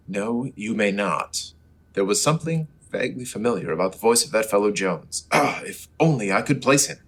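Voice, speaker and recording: An actor playing an earnest, exasperated superhero reporter in a comic old-time radio serial style, with formal, dramatic exclamations. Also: male voice, fairly low pitch, expressive, moderate pace, a bit bright, breathy edge, exaggerated voice. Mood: exasperated, patient.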